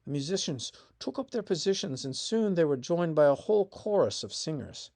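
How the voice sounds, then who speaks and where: A man narrating a scripted piece of family history as its author, in a measured reading with emphatic phrase openings that fall away. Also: fairly high pitch, expressive, creaky, a little mellow. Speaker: author reading own essay.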